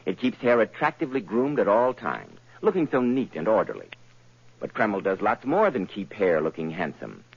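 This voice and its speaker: A man's voice: fairly low pitch, big pitch swings, normal speed, vocal fry, husky. A man working as a radio announcer, giving a polished, emphatic sales read in a 1940s style. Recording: muffled old radio recording.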